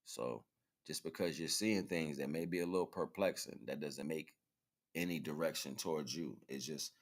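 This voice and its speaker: A man hosting a livestream, giving casual, rambling spiritual commentary in informal, slangy, halting fragments. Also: extremely low pitch. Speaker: livestream host.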